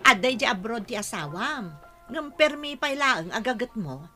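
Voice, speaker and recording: A woman's voice: a little high, sing-song, normal speed, sharp tone. A woman playing a prying, blunt acquaintance in a radio drama, incredulous in manner. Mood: nosy, teasing. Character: nosy, teasing, blunt acquaintance. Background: music.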